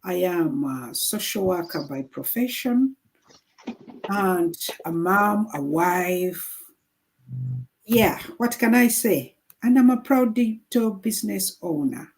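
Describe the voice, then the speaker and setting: A woman from Uganda, a social worker, introducing herself calmly on a video call. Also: medium pitch, slow, rough recording. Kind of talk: casual panel chat.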